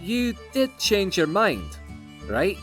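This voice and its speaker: Bright, wildly animated young male creature acted by a man. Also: high-pitched, slow pace, faint music behind, full character voice. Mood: excited. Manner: exclamatory, bossy, energetic. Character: excitable, pushy, eager young male fantasy creature companion. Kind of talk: dramatized fan fiction audio acting.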